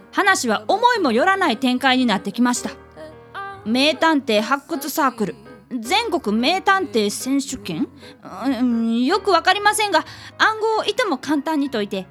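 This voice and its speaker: A woman's voice: sits high, a bit bright. A woman voicing an earnest fifth-grade boy apprentice detective who also narrates the radio drama in a polite, eager way.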